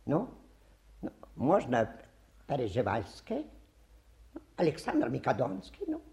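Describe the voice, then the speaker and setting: Swooping, plaintive old fakir. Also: male voice, mid-range voice, huge pitch swings, slow, a little mellow, exaggerated voice. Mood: showy. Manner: plaintive, showy patter with sighs and grand pronouncements. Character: theatrical, wheedling, self-pitying old travelling fakir. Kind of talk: theatrical radio play acting.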